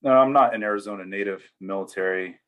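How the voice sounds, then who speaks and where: A man, speaking plainly as an interview guest, with frequent pauses and fillers. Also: fairly low pitch, narrow, tinny audio. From East Tennessee, US. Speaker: interview guest, church security veteran. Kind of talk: casual storytelling interview answers.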